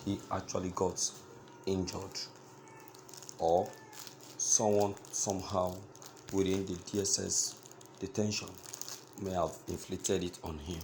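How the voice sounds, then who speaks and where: Slow, emphatic Nigerian male news commentator. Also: low-pitched, creaky, a little mellow, slight background noise, rough recording. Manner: halting, emphatic, repeats phrases. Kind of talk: news commentary addressed to listeners.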